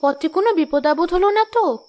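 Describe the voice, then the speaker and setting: A woman's voice: very high voice, normal speed, darkish tone. An actress playing a worried, blunt mother from a poor village family in a cartoon. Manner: blunt, fretful. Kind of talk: cartoon voice acting. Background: background music.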